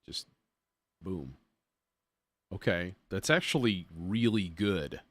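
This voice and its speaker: A man's voice: low-pitched, expressive, takes it slowly, no room sound. A man hosting a casual music production demo, thinking aloud in an informal, self-deprecating way as he works. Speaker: livestream host, music producer demonstrating software.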